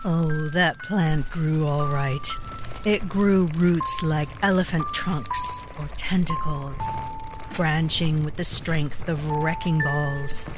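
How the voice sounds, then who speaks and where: A woman playing a knowing, wry storyteller, narrating a dark fantasy audio drama in a deliberate, confiding way. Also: sits low, fairly even intonation, over music. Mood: dramatic, wry.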